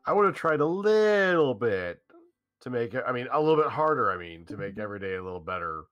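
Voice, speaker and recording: A man voicing an earnest, concerned young friend who feels hurt, in a halting, dramatic staged reading of a visual novel. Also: medium pitch, sing-song, a bit bright, muffled recording, faint music behind.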